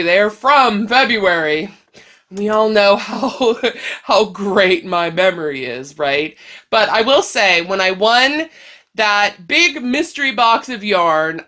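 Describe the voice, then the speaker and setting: Bright, unhurried woman, slightly stuffy. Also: mid-range voice. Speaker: crochet vlog host. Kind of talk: casual chatty talk to camera.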